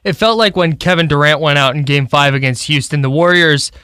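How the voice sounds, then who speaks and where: An American man with a fairly high, crisp and bright voice co-hosts a sports talk show. He speaks casually, as in conversation with colleagues. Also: brisk, no echo, clean studio sound. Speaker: sports radio co-host.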